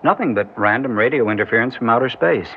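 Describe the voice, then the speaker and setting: A man's voice: mid-range voice, lively intonation, quick pace, natural voice. An actor playing a dutiful alien communications crewman, reporting formally in an old-time radio drama. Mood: efficient. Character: dutiful, efficient alien communications crewman, male, precise and respectful. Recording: muffled, noisy vintage radio recording.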